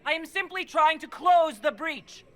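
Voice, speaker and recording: A woman's voice: extremely high pitch, a little slow. An actress playing a composed, defiant female dwarf leader in a fantasy game, plain-spoken and firm. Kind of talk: dramatic fantasy game acting.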